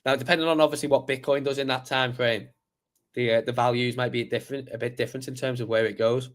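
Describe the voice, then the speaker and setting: Male streamer, croaky voice, mid-pitched, rambling casual chart talk. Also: steady tone, quick pace. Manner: chatty, rambling, many fillers and restarts. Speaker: crypto livestream host. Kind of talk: casual live chart analysis.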